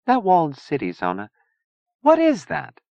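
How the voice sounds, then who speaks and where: A man reads as an earnest teenage girl, a little anxious and soft-spoken. The pitch sits above a man's usual range, and the sound is dry and close, as in an audiobook. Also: sing-song, fairly quick, dry recording, muffled recording, natural voice. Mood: curious. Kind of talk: audiobook character dialogue.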